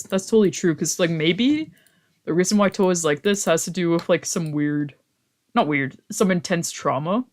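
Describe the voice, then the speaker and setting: Streamer informal y malhablado, de tono medio. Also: mid-range voice. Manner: slangy, swearing. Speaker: streamer playing a visual novel. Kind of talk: casual livestream chat and reading game text aloud.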